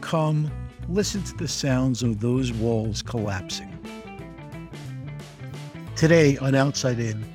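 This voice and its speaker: A man hosting a podcast, reading a polished introduction and then asking his guest questions in a measured, articulate way. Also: mid-range voice, music in the background. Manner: measured, articulate, reads from a script. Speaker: podcast host. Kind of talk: scripted intro then interviewing.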